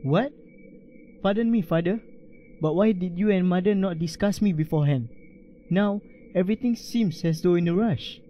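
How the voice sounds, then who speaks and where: A man's voice: high-pitched, normal speed, dark tone, a little hiss or hum. A young man playing an earnest young army lieutenant in an amateur radio play, speaking in formal, dutiful phrases. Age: young adult. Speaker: student radio play actor. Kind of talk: amateur radio drama acting.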